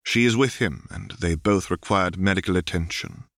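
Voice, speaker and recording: A man reading the part of a world-weary, wry and resolute Jedi in his thirties for a storybook, in a measured, dry manner. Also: low-pitched, fairly bright tone, no room sound. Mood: quiet, resolute.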